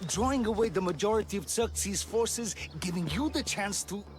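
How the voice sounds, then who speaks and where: An actor playing a spirited Persian rebel comrade, eager and wry, in a video game drama. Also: male voice, high-pitched, animated, moderate pace.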